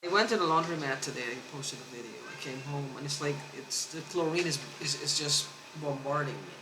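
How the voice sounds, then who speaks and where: Earnest, halting woman in an echoey room. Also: deep voice, background noise. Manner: halting, with many restarts and repeated words; earnest and insistent. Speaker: vlogger. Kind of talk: rambling personal monologue to camera.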